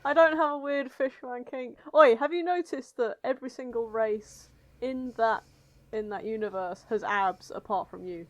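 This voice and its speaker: A woman's voice: on the high side, normal speed. Blunt, sardonic woman bantering. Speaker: podcast co-host. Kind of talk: casual banter.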